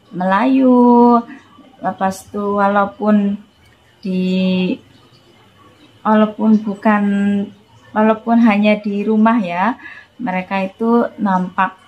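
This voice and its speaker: Slow, hesitant woman from Indonesia. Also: mid-range voice, slight background noise, poor audio. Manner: hesitant, searching for words with many fillers; mixes in Malaysian Malay words. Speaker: vlogger. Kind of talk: casual personal vlog talk.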